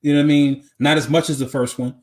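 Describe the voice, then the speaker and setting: Casual, halting man chatting on a podcast. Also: fairly high pitch, little pitch movement. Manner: halting, repetitive, peppered with "you know what I'm saying". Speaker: podcast co-host. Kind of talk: casual chat about movies.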